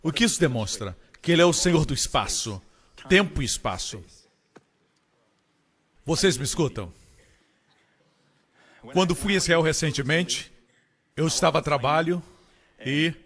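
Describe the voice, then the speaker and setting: A man preaching a sermon with emphatic delivery, climbing high in pitch on key phrases. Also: lively intonation, normal speed, long pauses, a bit bright, big-room sound. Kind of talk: Portuguese-dubbed sermon.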